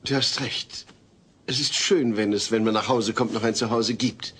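An actor playing a mild, long-suffering husband and father with a deadpan manner in a German sitcom audio drama. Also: medium pitch, normal speed, slight background noise, natural voice. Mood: resigned.

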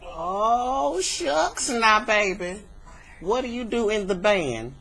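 A woman's voice: mid-range voice, sing-song, slow pace, fairly bright tone, breathy edge, exaggerated voice. A woman playing a nosy, doting elderly church lady on a prank call, folksy and preachy with sugary endearments. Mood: folksy, teasing. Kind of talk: improvised prank phone call. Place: indoor room at home. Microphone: webcam microphone. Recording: noisy, humming webcam recording.

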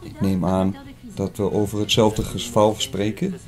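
A man playing an inquisitive, polite newspaper writer in an audio drama, speaking formally and deliberately. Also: lowish voice, animated, a little mellow. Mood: intrigued. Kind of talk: scripted audio drama dialogue.

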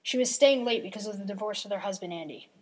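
A woman's voice: mid-range voice, quick pace, very noisy. A woman reading scripted narration for an old-time radio mystery. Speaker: narrator and announcer.

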